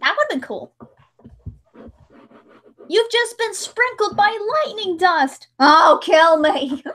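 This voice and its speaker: A woman's voice: very high voice, lively intonation, slow, fairly bright tone. A woman chatting casually on a livestream in a playful, joking way. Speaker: livestream host editing a dramatic reading. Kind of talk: casual chat.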